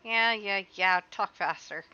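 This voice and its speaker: A woman doing casual live game commentary in an exasperated, expressive, pleading manner. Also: medium pitch, slow, muffled recording. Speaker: live streamer.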